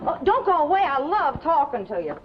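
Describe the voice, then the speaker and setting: Gabby, brassy high-voiced showgirl. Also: big pitch swings, brisk, noisy background. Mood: chatty, breezy. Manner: gabby, self-absorbed. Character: chatty, brassy showgirl and doting mother. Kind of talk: 1950s American television drama acting. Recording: dull 1950s TV soundtrack, clear music behind.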